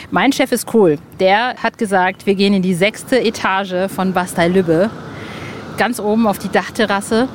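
A woman hosting a podcast, chatting in a lively, enthusiastic way with fillers. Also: mid-range voice, slight background noise. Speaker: podcast host. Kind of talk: casual podcast chat. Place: rooftop terrace outdoors, construction noise nearby.

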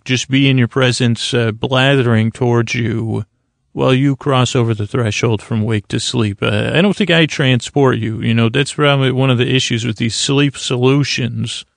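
A man hosting a bedtime podcast in a creaky, lulling voice, meandering and halting as he blathers soothingly. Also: mid-range voice, no echo. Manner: meandering, halting, repeats himself. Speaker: host of a bedtime story podcast. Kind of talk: rambling bedtime monologue, soothing.

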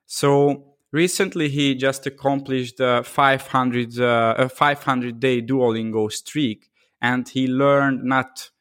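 A man's voice: mid-range voice, steady tone, a bit bright, dry recording, clean studio sound. Man hosting a podcast. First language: Hungarian. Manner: halting, many fillers and restarts. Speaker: podcast host. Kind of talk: casual interview chat.

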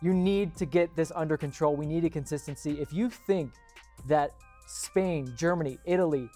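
Male sports talk host, slightly high voice, emphatic and opinionated, faint music underneath. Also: moderate pace, warm tone. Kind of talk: casual sports show commentary.

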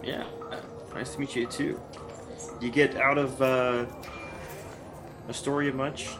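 A man's voice: mid-range voice, little pitch movement, over music. Hesitant male tabletop player. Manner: hesitant, halting in-character talk.

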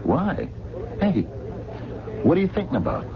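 A man's voice: a little high, wildly swooping pitch, measured pace, gravelly, crowd noise, exaggerated voice. An actor playing a worried working-class diner man in a radio drama, insistent and colloquial, with an American accent. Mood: worried, pleading. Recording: dull, noisy old radio broadcast.

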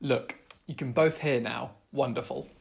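An exasperated hero, played by a man, mid-pitched and urgent, bossing companions along in a comic audio adventure. The delivery stays close to everyday speech. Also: fairly even intonation, normal speed, creaky, echoey room, lightly acted. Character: exasperated male hero, bossy straight man of the trio.

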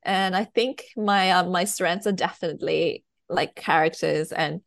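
A woman's voice: lowish voice, normal speed, vocal fry, no room sound, studio quality. A young woman from Thailand, speaking English as her second language, chatting hesitantly as a guest novelist with many fillers and laughs. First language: Thai. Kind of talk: casual interview chat.

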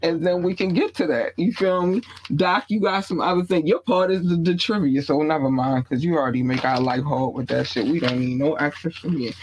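A man's voice: on the high side, brisk, hardly any pauses, a little hiss or hum, low-quality recording. A man, co-hosting a casual hip-hop podcast, talking bluntly with slang and a frequent "you feel me.". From born in North Carolina, raised in Baltimore. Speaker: podcast co-host. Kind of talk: casual chat.

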